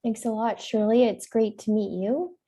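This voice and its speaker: A woman moderates a panel over a video call. Her voice sits fairly high but sounds very dark and mellow. She keeps things brisk and friendly as she calls on each guest. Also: breathy edge.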